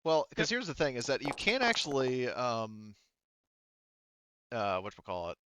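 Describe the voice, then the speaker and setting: A man's voice: medium pitch, wildly swooping pitch, moderate pace, sharp tone, a little hiss or hum. A man running a tabletop roleplaying game as game master, talking casually and thinking aloud.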